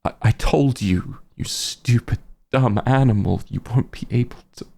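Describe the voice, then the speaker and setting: A man playing a bitter, grieving werewolf hunter in an audio roleplay, gravelly and husky, speaking with halting, menacing intensity. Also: medium pitch, a little mellow. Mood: bitter, threatening. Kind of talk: dramatic audio roleplay monologue.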